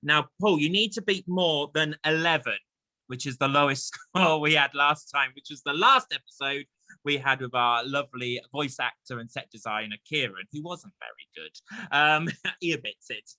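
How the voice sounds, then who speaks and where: A man's voice: a little high, moderate pace, pushed and bright, no room sound, low-quality recording. An English man hosting a chatty theatre interview and quiz, effusive and encouraging in manner. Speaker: host of a theatre chat show.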